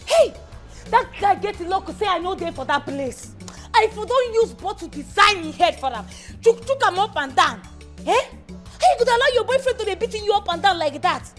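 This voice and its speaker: Woman playing a nigerian madam, very high voice, loud scolding, faint music behind. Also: lively intonation, normal speed. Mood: outraged. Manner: loud scolding in Pidgin, with laughing asides. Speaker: employer scolding her house help. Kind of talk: comedy skit acting.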